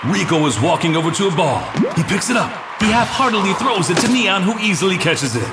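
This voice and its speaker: A man playing an excitable, dramatic sports commentator giving play-by-play in a cartoon. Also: sits high, a little fast, over music. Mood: excited shouting.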